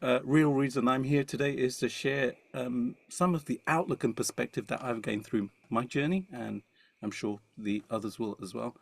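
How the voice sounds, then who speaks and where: Man, jokey rambling talk, video call, gravelly edge. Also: medium pitch, steady tone, normal speed, dry recording. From Indian heritage. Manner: jokey, self-deprecating, rambling with fillers. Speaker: musician, webinar co-presenter. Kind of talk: joking webinar talk.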